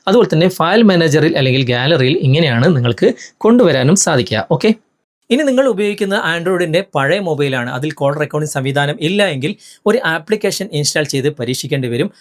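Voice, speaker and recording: Fast-talking Malayali man presenting a tutorial. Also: on the high side, animated. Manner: instructional, step-by-step, addressing viewers directly. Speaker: tech tutorial host. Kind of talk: explanatory phone tutorial narration.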